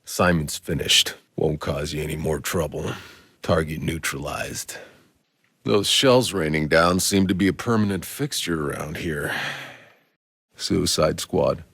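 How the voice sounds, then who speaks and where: An actor playing a weary, cynical, foul-mouthed sci-fi soldier for a video game, with a gruff, sardonic, laconic delivery and a gravelly, husky voice. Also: male voice, a bass voice, a little slow, fairly bright tone, long reverb tail, exaggerated voice. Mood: dry, businesslike.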